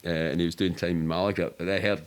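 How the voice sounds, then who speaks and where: A man's voice: low voice, fairly even intonation, quick pace, clean tone. A middle-aged man with a Glaswegian Scottish accent, telling anecdotes casually in a candid, rambling, sweary way. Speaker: podcast guest.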